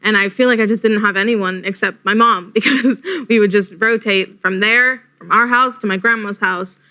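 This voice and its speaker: Earnest teen girl on a panel, bright and open. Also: medium pitch, fairly even intonation, normal speed. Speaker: panel guest. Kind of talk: casual chat.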